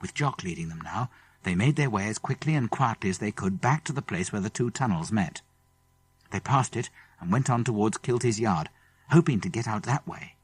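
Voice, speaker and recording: A British male audiobook narrator tells a children's story with drama. His pitch is ordinary but moves a lot, the voice is a little husky, and the studio sound is dry. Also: expressive, moderate pace, dry recording. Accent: British English. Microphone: close studio microphone.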